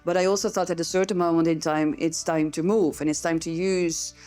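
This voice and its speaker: A woman's voice: lowish voice, fairly even intonation, fairly quick, warm tone, soft background music. A middle-aged woman with a Dutch accent, speaking enthusiastically and emphatically as a guest in a conversational interview. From Netherlands. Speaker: interview guest, geothermal industry professional.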